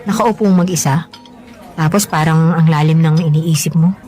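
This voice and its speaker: An actress playing a kindly, concerned coworker who listens sympathetically in a Filipino radio drama, with conversational delivery. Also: female voice, deep voice, fairly even intonation, normal speed, natural voice. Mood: friendly. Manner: gentle, sympathetic, chatty. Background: background music.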